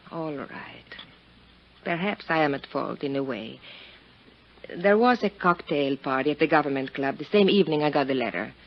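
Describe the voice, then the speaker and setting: An actress playing a proud, volatile Italian countess in 1950s radio drama, haughty and formal in manner. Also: female voice, deep voice, animated, a little slow, long pauses. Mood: guarded, haughty. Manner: haughty, formal, guarded, flaring into outbursts. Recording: dull 1950s radio broadcast recording.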